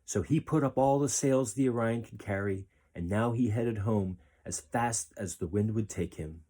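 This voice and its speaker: A man reading a children's story aloud as a storyteller, expressive and deliberate. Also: fairly low pitch.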